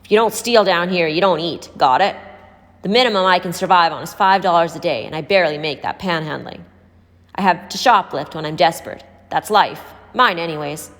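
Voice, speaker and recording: A woman's voice: on the low side, fairly quick, a bit bright, lightly acted. Streetwise homeless young man for a read-aloud woman narrator playing him: urgent, wary, plain-spoken, long reverb. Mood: matter-of-fact. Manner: blunt, warning, urgent. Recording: hall-like reverb.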